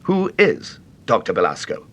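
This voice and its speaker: An actor playing an anxious, agitated English widower in a radio mystery drama, well-spoken and excitable with a British accent. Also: male voice, lowish voice, moderate pace. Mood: urgent, suspicious.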